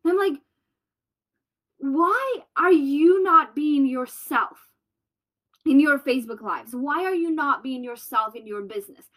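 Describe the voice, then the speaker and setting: A woman with a Colombian accent hosting a solo business podcast, bubbly and loud. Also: high voice, lively intonation. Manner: bubbly, loud and fast-talking. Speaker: podcast host.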